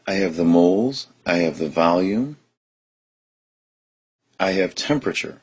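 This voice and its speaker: A man with a very deep, husky voice teaching chemistry. He talks through each step of a problem in a steady, methodical way. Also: a little slow. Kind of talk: recorded lesson explanation.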